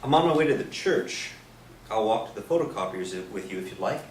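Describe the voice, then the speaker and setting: An actor in a staged play reading playing a mild, bashful priest, polite and hesitant, gently eager to help. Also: male voice, on the low side, lively intonation, a little fast, lightly acted. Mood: bashful, smitten. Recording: echoey stage, distant mic, noisy room.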